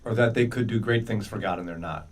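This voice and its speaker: A man's voice: fairly low pitch, fast talker, distant mic. A man co-hosting a radio show with casual, conversational commentary, often checking in with his listener through rhetorical questions. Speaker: morning radio co-host.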